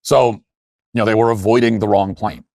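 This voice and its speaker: A man hosting an opinion commentary show, speaking in an emphatic, critical way with deliberate phrasing and asides. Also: on the low side, steady tone, a little mellow, dry recording, studio quality.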